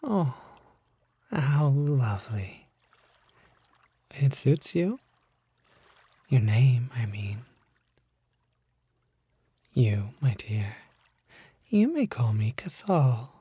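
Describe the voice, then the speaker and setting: Man acting as smooth-talking male kelpie, whispery, unhurried, dry close-mic sound, flattering, teasing, unsettling ASMR lure. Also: medium pitch, dry recording, full character voice. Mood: seductive, intimate. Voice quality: soft, breathy, often whispered. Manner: coaxing, flattering, falsely modest, teasing. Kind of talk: ASMR roleplay, intimate one-sided acted dialogue. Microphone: close microphone.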